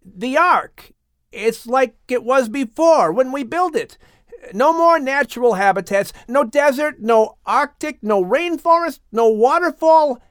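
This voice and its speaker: A man voicing an excitable young son calling out in a Bible storybook. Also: very high voice, a little slow, smooth, no room sound, studio quality, voice pushed up. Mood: alarmed, excited.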